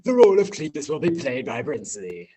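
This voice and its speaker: A woman's voice: extremely low pitch, big pitch swings, brisk, warm tone, a little hiss or hum. A young woman in a school stage play, playing a dry-witted cast member who questions the director. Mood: pointed.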